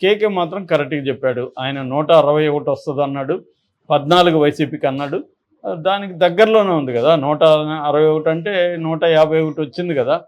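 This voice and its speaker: Higher-voiced man analysing politics. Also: a little fast, dull audio. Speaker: political analyst on a news discussion channel.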